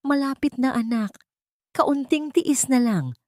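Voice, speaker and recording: A woman voicing a tender, resolute young mother in a story, speaking to her baby in a hushed, determined manner. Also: high voice, animated, slow, dry recording, studio quality, voice pushed up. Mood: tender resolve.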